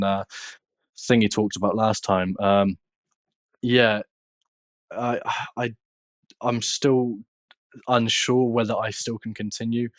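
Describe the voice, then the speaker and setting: A nineteen-year-old man with a British accent, speaking casually and haltingly as an interview guest. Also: fairly low pitch, no room sound. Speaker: podcast guest, content creator.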